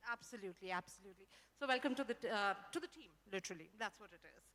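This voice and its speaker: A woman's voice: medium pitch, animated, racing, a bit bright. A woman with an Indian English accent interviewing a guest on stage, enthusiastic and chatty, on a handheld mic. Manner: enthusiastic, chatty, mixes in Hindi phrases. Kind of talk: conference fireside chat. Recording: reverberant conference hall.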